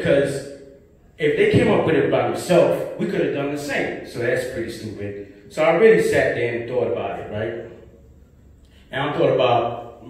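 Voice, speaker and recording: A young New man works through a stand-up set with an animated, lively pitch, stretching his words out slowly and tossing in "right?" after every thought. He sounds fairly high for a man, and he is caught by a distant camera in a small club, so the voice rings in a very echoey room. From Brooklyn, New York. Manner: slow, rambling delivery with many asides and repeated "right?". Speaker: stand-up comedian. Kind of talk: open-mic stand-up set. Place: small club stage with tiled wall, indoors. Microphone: handheld mic, filmed by a far camera.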